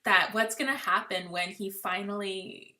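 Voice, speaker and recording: A woman's voice: mid-range voice, fairly even intonation, a little slow, sharp tone. A woman hosting a casual fan podcast, chatty and upbeat, laughing often. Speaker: podcast host.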